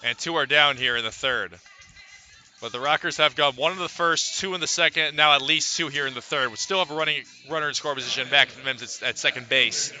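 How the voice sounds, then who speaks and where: A man's voice: a little high, fairly quick, sharp tone. A man calling a baseball game as a play-by-play announcer in a live radio commentary style.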